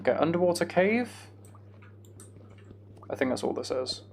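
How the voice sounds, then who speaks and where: A man's voice: fairly high pitch, very animated, normal speed, vocal fry, a little hiss or hum. A man chatting casually as he livestreams a game, with self-deprecating, muttered asides to himself. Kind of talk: casual gaming livestream chat with commentary.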